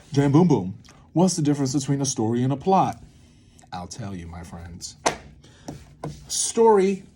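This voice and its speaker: A man giving an informal creative lesson to camera, thinking aloud in an animated way with swings in emphasis. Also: medium pitch, a little hiss or hum. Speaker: creator and host teaching a creative method. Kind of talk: informal instructional monologue to camera.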